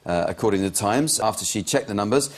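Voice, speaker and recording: A man hosting a comedy news quiz, reading out gags with a dry, deadpan delivery in a TV studio. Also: on the low side, fast talker. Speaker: panel show host. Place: TV studio with audience.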